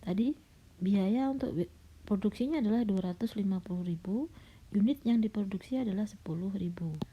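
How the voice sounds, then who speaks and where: A middle-aged woman lecturer, slightly hoarse and coughing, patiently walking students through a recorded slide lecture with frequent fillers. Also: medium pitch, a little fast, a little hiss or hum. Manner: patient, explanatory, with frequent "nah" and "ya". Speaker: university lecturer. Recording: dull lo-fi recording.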